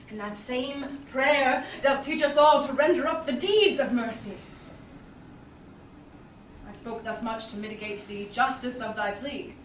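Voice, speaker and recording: A woman playing a composed young male doctor of law in a staged Shakespeare reading, formal and measured. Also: on the high side, a bit bright. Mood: grave, measured. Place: small theatre stage, indoors. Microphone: far audience camera. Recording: echoey stage, distant camera, faint noise.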